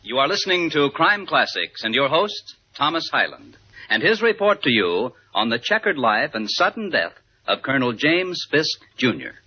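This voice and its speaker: An actor playing a dry, theatrical host of true-crime tales in measured 1950s radio drama narration. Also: male voice, medium pitch, very animated, breathy edge. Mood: wry, measured. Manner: measured, wry storytelling. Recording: dull vintage 1950s radio broadcast. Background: musical score.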